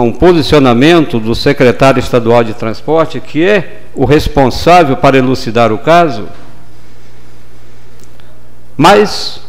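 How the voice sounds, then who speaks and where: Older man, councilman, formal deliberate delivery, desk mic in a chamber. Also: a little high, gravelly. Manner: measured, formal, deliberate pauses. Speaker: city councilman. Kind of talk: formal legislative speech. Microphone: desk gooseneck microphone.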